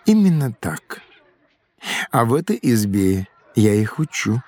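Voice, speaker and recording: A village teacher from olden times, folksy storyteller way of talking, explains stuff, audio drama. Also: male voice, medium pitch, sing-song, unhurried, darkish tone, no room sound. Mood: instructive. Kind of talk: educational audio drama acting.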